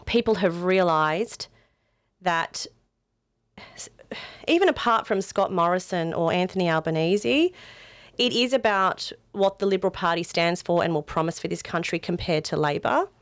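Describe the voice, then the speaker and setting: A woman's voice: on the low side, unhurried, pauses often, no room sound. An Australian woman speaking as a political candidate in an interview, earnest and emphatic, repeating points to stress them. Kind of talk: interview answers.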